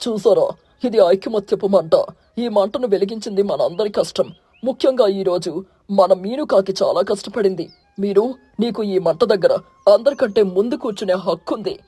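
Man voicing a husky, high-pitched commanding eagle king. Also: normal speed, dark tone, no echo, soft background music. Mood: proud. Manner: solemn, commanding. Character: stern, dignified male eagle king of a bird village.

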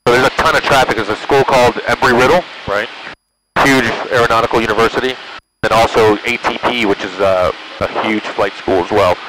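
An American man speaks briskly in a bright voice as a pilot, moving between casual explanation and clipped radio calls. He often checks that his listener follows. His headset intercom carries a light engine hum. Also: mid-range voice, fairly even intonation, rough recording. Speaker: pilot and vlogger. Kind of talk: casual cockpit chat mixed with radio calls. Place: small propeller plane cockpit in flight. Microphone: aviation headset.

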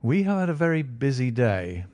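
Man doing a cool detective, calm and dry, measured, unhurried, dead dry sound. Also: mid-range voice, dry recording, close to an everyday voice. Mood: calm, assured. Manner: calm, dry, quietly confident. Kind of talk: audiobook character voice.

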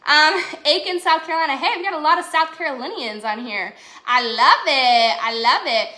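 Young woman, high bright voice, chatty livestream, echoey kitchen. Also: normal speed. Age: young adult. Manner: rambling, giggly, talks to viewers by name. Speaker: livestream host. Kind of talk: chatty live grocery haul. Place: home kitchen, indoors. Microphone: phone camera at arm's length. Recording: echoey kitchen with faint noise.

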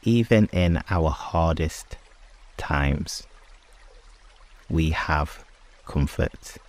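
Very deep, extremely slow man's voice. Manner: hushed, unhurried, long pauses between short phrases. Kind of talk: slow guided sleep meditation and prayer.

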